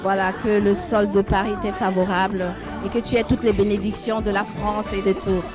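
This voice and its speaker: A woman's voice: medium pitch, level tone, moderate pace, music in the background, a crowd in the background. A woman hosting a live event, casually introducing and chatting with a guest on stage. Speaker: event host.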